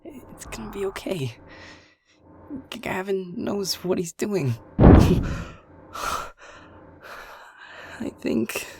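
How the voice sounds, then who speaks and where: A man voicing a timid, anxious, soft-hearted young man who hesitates and stammers in an audio roleplay. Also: high voice, lively intonation, takes it slowly, gravelly, background noise, heavily acted. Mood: anxious, hushed.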